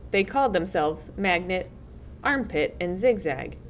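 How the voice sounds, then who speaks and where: A woman's voice: lowish voice, animated, measured pace. A woman reading a storybook aloud as narrator, in the manner of a teacher. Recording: muffled lo-fi recording, loud background noise.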